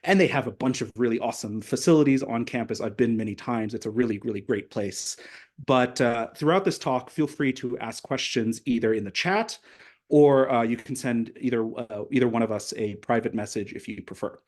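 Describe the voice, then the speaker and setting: A man's voice: mid-range voice, fairly quick, a little mellow. A man in his thirties moderating an online science event, chatty with teasing asides.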